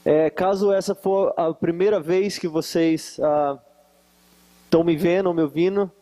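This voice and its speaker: A man's voice: fairly high pitch, dark tone. A man with a Minas Gerais accent preaching at a conference, teasing and joking with the audience between remarks. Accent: Brazilian Portuguese, Minas Gerais. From Varginha, Brazil. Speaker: guest preacher. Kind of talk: conference sermon with jokes. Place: conference hall with live audience.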